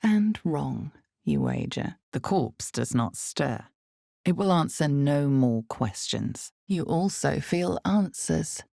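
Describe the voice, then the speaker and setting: A woman voicing a grave, ominous fantasy game narrator, with a gravelly voice and a measured, dramatic delivery in scripted second person. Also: deep voice, lively intonation, dark tone, no room sound, studio quality, full character voice. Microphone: close studio microphone, dry.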